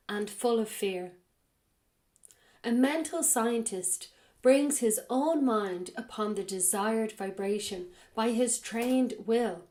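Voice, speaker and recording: A woman hosting a podcast, reading a spiritual text aloud in a slow, measured way and then commenting on it, her voice a little raspy from a cold. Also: on the high side, darkish tone. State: has a cold. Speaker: podcast host.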